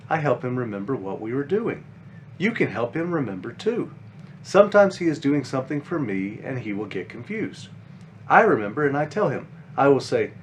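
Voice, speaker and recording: An eager, caring five-year-old big sister bunny from a picture book, read out by a man. The pitch swings in a lively way as things are explained simply to a younger child. Faint noise sits behind the voice. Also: close to an everyday voice.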